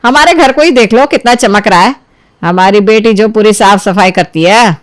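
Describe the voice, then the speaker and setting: A woman's voice: medium pitch, moderate pace, dry recording, studio quality, close to an everyday voice. A woman voicing a critical older mother-in-law in a family story, scolding and boastful. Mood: boastful, reproachful. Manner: scolding, reproachful. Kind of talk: acted dialogue in a Hindi family storybook tale.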